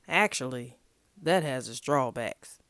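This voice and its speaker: A woman's voice: rumbling low, animated, slow, fairly bright tone, dry recording, exaggerated voice. Woman reading a patient storybook animal elder. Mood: matter-of-fact. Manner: coaxing, matter-of-fact. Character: patient grown-up frog parent and easygoing pig. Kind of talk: picture-book read-aloud.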